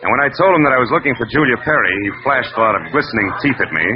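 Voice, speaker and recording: An actor playing a wry, tough, world-weary private detective in 1940s radio drama, sardonic and wisecracking in clipped lines. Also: male voice, mid-range voice, lively intonation, very fast, noisy background, natural voice. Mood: wry narrating. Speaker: lead actor and narrator. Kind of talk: 1940s hardboiled radio narration and acting. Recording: muffled vintage radio recording.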